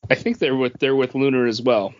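Casual podcast guy, middle pitched voice, blunt, a bit muffled recording. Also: fairly even intonation, fairly quick. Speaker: podcast co-host. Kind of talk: casual pop culture podcast chat.

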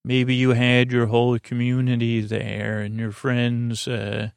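A man hosting a bedtime podcast with a creaky voice, rambling in a lulling, halting way with trailing asides. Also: mid-range voice, completely flat delivery, no room sound. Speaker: podcast host. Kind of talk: rambling, soothing bedtime monologue.

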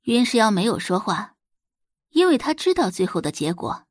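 A woman's voice: mid-range voice, big pitch swings, normal speed, no room sound, studio quality. A woman reading a scripted story expressively as an audiobook narrator.

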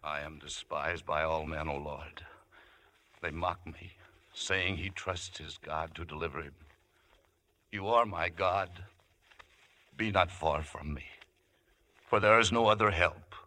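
A man's voice: a bass voice, a little slow, pauses often, bright, forceful tone, exaggerated voice. An actor playing a grave, anguished captive biblical strongman in a 1950s radio drama. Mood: anguished prayer. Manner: grave, solemn, declamatory.

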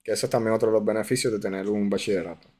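A man's voice: on the low side, steady tone, very fast, dark tone. A man from hosting an interview, explaining points for listeners and laughing easily. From Puerto Rico. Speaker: podcast host. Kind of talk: casual interview.

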